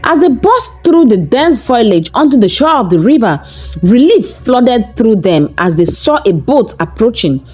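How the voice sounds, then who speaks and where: A woman storyteller with a fairly high voice reads a scripted tale. Her pitch swings in a lively, animated way as she builds suspense. Soft music plays behind her. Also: unhurried, low-quality recording. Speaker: storyteller and channel narrator. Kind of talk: dramatic scripted folktale narration.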